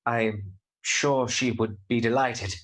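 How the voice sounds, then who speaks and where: An actor playing a polite, earnest young gentleman in a radio play, speaking precisely and formally. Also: medium pitch, fairly even intonation, takes it slowly, rough recording. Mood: pleased, polite. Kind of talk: radio play acting with diary narration.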